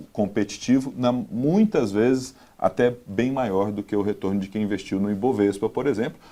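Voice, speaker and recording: A man speaking as a panel guest, explaining in a measured way. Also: lowish voice, warm tone. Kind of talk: panel discussion.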